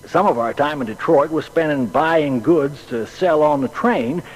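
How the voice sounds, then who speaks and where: A man's voice: on the high side, sing-song, unhurried, low-quality recording. An actor playing a folksy, plain-spoken man who hesitantly recalls his boyhood friend in a dramatised biography. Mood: fond, musing. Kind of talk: dramatised witness reminiscence.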